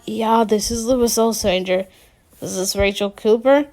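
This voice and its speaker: A woman's voice: medium pitch, moderate pace, a little mellow, natural voice. A woman voicing a wry teenage girl who teases dryly and gets flustered when caught out. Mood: teasing.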